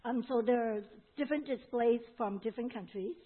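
A woman's voice: a little high, brisk, slightly rough. A woman with a Hong Kong accent interpreting a sermon, relaying each phrase with fillers and restarts. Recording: tinny phone-like sound.

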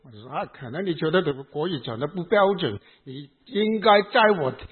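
A man with a Hong Kong accent preaches a sermon in short phrases, leaving pauses for his interpreter. His voice is somewhat high and husky. The sound is thin and phone-like. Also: animated. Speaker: pastor.

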